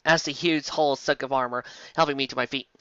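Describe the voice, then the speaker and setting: A host giving a comedic dramatic reading of fan fiction, theatrical and excitable, with exasperated asides. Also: mid-range voice, fast talker, no echo, muffled recording.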